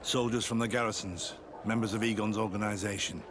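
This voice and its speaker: A man playing a guarded, low-voiced army sergeant. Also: steady tone, a little fast, background noise, lightly acted. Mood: grave. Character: guilt-ridden, secretive male army sergeant turned informant. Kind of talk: video game cutscene acting.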